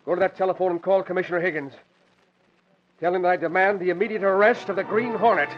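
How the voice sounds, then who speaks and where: An actor playing a frightened, demanding boss in an old-time radio drama, blustering and imperious. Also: male voice, sits high, fairly even intonation, quick pace, close to an everyday voice. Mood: angry, demanding. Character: frightened, demanding, irritable male boss under threat. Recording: muffled old radio recording, noisy.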